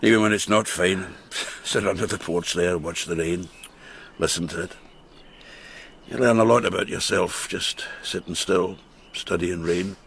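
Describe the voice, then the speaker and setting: An actor in a radio drama playing a boisterous, teasing farmer who is drunk, slurring and halting as he repeats himself. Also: low-pitched, creaky, fairly bright tone, a little hiss or hum, full character voice. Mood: musing.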